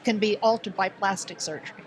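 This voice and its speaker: A woman's voice: mid-range voice, fairly quick. A woman talking casually as a panelist in a podcast conversation. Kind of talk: casual chat.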